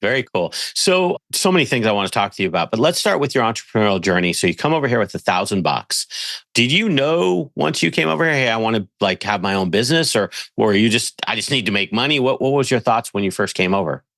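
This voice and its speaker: A man's voice: on the low side, big pitch swings, fast talker, vocal fry, a bit bright, no echo. A man hosting a podcast, introducing and interviewing in a conversational presenter style. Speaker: podcast host.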